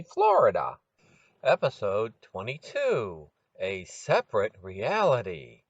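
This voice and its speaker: Lively lower-pitched man narrating a podcast. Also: no echo. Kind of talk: scripted narration of short fiction and tips. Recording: dull, dry lo-fi sound.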